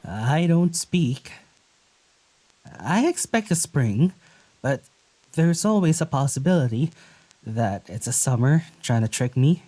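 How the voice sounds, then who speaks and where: An actor playing a gentle, wary young traveler in a naturalistic audio drama, speaking in a hesitant, musing way. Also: mid-range voice, expressive, slow, long pauses. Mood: awed, musing.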